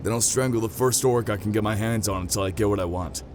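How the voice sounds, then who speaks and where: Man acting a low, grim veteran soldier. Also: fast talker, noisy background, natural voice. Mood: grim resolve. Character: grim, driven male veteran soldier, reckless and vengeful. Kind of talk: fantasy audio drama acting. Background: music under the voice.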